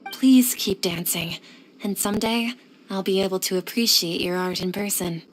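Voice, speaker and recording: A woman playing a courteous, composed scholarly lady in an anime game, speaking in a polite, measured, formal way. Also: medium pitch, slight background noise, close to an everyday voice, rough recording. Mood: sincere.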